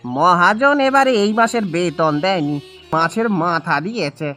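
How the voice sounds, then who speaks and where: A man voices a poor village husband in a cartoon, with very lively swings of pitch and a bright, sharp tone. He is weary and wheedling, quick to get riled, and music plays behind him. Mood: defensive. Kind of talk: Bengali cartoon voice acting.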